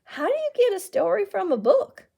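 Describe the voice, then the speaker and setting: A woman's voice: extremely high pitch, sing-song, normal speed, creaky, warm tone, voice pushed up, full character voice. A woman voicing a curious, earnest young boy in a storybook, with a wondering, eager manner.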